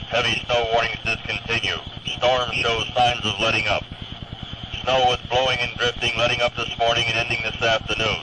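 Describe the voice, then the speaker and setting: A man reading a scripted weather forecast as a radio announcer, formal and matter-of-fact. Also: mid-range voice. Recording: very noisy 1970s weather radio broadcast.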